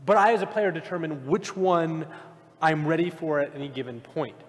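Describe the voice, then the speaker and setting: Male panelist, fairly high voice, informal and emphatic debater, recorded in a big echoing hall. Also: normal speed. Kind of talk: casual live panel debate. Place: large convention panel room with microphones. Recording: very reverberant hall, live panel.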